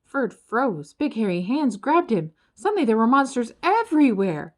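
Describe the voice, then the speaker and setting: A woman narrating a children's storybook in an expressive, dramatic storytelling way. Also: a little high, moderate pace. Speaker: audiobook narrator.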